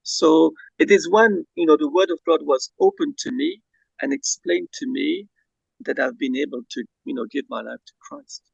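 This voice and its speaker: Gravelly Belgian man on a video call. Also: a little high, very animated, normal speed, no echo, dull audio. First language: Dutch. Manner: soft-spoken, hesitant, many fillers. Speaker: guest.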